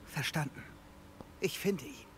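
A man voicing an earnest teenage student wizard in a video game, speaking crisply. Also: a little high, a little slow, slight background noise, close to an everyday voice. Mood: earnest, concerned. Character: earnest teenage male student wizard hero, shouting spells. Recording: game sound effects and ambience behind.

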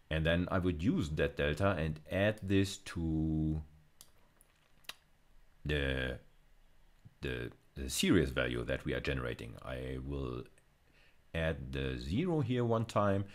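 Very deep-voiced male lecturer. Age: middle-aged. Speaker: university lecturer. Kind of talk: explanatory screencast lecture. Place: indoors, recorded screencast with camera inset.

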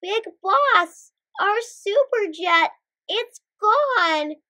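A woman puts on an eager little boy superhero from a storybook. The voice is very high and swings widely, excitable and dramatic. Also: slow, exaggerated voice. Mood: alarmed, urgent.

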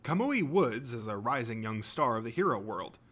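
A man's voice: mid-range voice, big pitch swings, normal speed, fairly bright tone. A man voicing an emphatic student quizmaster in an audiobook, announcing in a projected game-show manner. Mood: showy.

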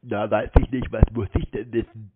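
A man in a deadpan, mock-naive and contrary manner, chatting as a prankster on a phone call. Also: lowish voice, normal speed, very noisy. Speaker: prank host answering scam calls. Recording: tinny phone-quality audio.

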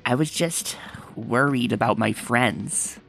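A woman voicing a gruff, sincere female cartoon creature with a gravelly voice in a comic dub. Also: rumbling low, slow pace, noisy background, heavily acted. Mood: apologetic. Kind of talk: comic dub character acting.

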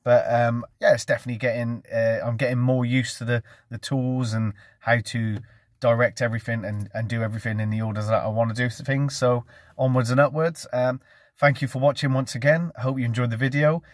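A relaxed English man in his thirties talks through his work like a friendly vlogger, easygoing and chatty with plenty of ums. He sits in a parked van, filming himself on a phone at arm's length. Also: mid-range voice, brisk, dry recording, clean studio sound. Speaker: window cleaner vlogger. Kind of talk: casual how-to vlog. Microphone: phone camera at arm's length.

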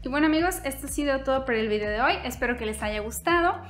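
A woman's voice: sits high, lively intonation, fast. A young woman hosting her own channel, explaining a topic in an upbeat way straight to her viewers from a room at home. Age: young adult. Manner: upbeat, direct address to viewers. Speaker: YouTube host. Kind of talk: scripted vlog explainer. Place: indoor room, seated on couch. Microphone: fixed camera, medium shot. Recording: echoey room with soft background music.

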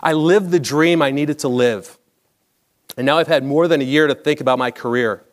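An American man in his forties reads a scripted personal story on stage in a measured, polished broadcaster-like delivery. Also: on the high side. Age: 40s. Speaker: storyteller, former baseball broadcaster. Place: theatre stage, indoors, at a lectern.

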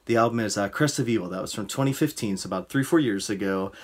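A man's voice: fairly low pitch, fast talker. A man in his thirties, a music producer, casually recommending albums over a video call from a room. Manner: relaxed, conversational, uses fillers. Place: indoor room. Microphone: video call webcam.

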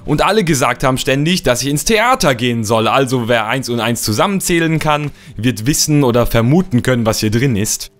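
A young man with a slightly rough, cold-worn voice, chatting playfully and rambling as he narrates his gameplay. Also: mid-range voice, lively intonation, a little fast, few pauses. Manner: playful, rambling, repeats words for fun. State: hoarse from a cold. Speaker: let's play host. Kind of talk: casual gameplay commentary.

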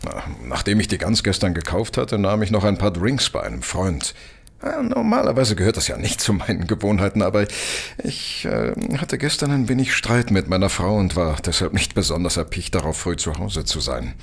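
An actor playing a polite, apologetic, long-winded gentleman in a German audio drama, hesitant and rambling. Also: low-pitched, sing-song, normal speed, gravelly, breathy edge, heavily acted. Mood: sheepish, rueful. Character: talkative, tipsy-living, apologetic married gentleman, mildly sheepish.

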